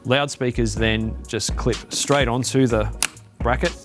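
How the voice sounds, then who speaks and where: A man's voice: medium pitch, fairly even intonation, measured pace, over music. An Australian man, a business development manager, giving a conversational product walkthrough with lots of filler words and restarts. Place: demo facility.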